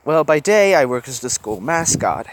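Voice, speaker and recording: A man voicing an excitable, teasing young male mascot who loves comics and monsters. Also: mid-range voice, moderate pace, noisy background, lightly acted. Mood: playful.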